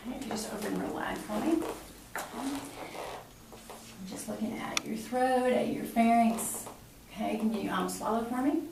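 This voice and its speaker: A woman's voice: on the high side, normal speed, long pauses. Woman examiner in a very echoey room. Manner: polite, step-by-step instructing. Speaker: clinical examiner demonstrating a physical exam. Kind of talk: instructional exam talk, explaining each step to a patient. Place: small cinder-block exam room, indoors. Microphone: camera mic from across the room. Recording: very echoey room, distant camera mic, noisy.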